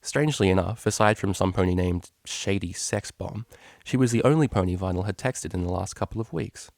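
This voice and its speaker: A man with a fairly low voice narrates a scripted story. He reads as a storyteller, and the recording is dry with very little room sound. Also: fairly quick, vocal fry, a little mellow, no room sound. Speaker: story reader.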